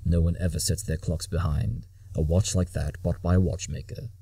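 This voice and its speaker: Guy doing a low husky smug detective, kinda condescending, audio drama monologue. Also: little pitch movement, normal speed, mellow, no echo. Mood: smug, explaining. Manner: clipped deductive monologues, condescending. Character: brilliant, imperious male detective pony, impatient and smug.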